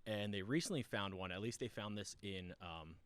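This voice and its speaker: A man's voice: on the low side, normal speed, a bit bright, clean tone, dry recording. A young man hosting a podcast, chatting casually, rambling through explanations and laughing often. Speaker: podcast host. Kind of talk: casual chat.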